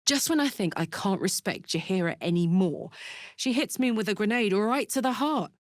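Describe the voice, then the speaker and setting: A woman's voice: medium pitch, lively intonation, moderate pace, no echo, studio quality, lightly acted. A woman voicing a brash, big-hearted, hot-tempered warrior in a fantasy video game, speaking bluntly, informally and with open emotion. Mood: playful, warm.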